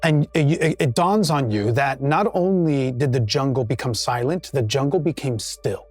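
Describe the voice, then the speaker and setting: A man running a tabletop game, narrating scenes in a slow, drawn-out way. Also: mid-range voice, a little mellow, no echo, faint music behind. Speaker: game master.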